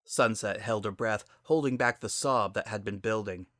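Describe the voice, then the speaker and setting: A man's voice: lowish voice, moderate pace, a bit bright, dry recording, clean studio sound. A man narrating a story in a dramatic, scripted reading style.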